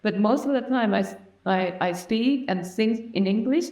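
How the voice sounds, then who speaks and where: A woman's voice: medium pitch, normal speed, a little mellow. A woman hosting a casual podcast interview, chatty and eager, often chiming in with short replies. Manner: chatty, eager, frequent backchannels. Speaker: podcast host. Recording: roomy echoey room.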